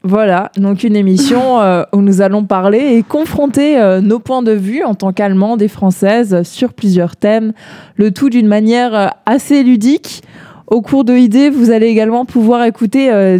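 A woman's voice: mid-range voice, moderate pace, dark tone, no room sound. A young woman from Alsace co-hosting a radio show, talking casually with many hesitations and easy laughs. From Alsace, France. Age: young adult. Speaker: radio co-host.

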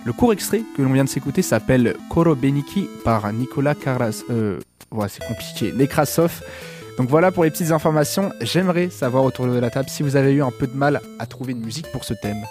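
A man's voice: medium pitch, lively intonation, fairly quick, a little mellow, faint music behind. Stuffy-nosed man hosting radio. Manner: hesitant, stumbles over words. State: stuffy with a cold. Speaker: radio show host. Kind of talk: casual radio chat. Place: radio studio.

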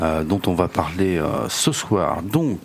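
Hesitant man with a lowish voice. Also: expressive, slow, gravelly, a little hiss or hum. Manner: hesitant, searching for words. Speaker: film show presenter. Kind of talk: casual, unscripted film chat.

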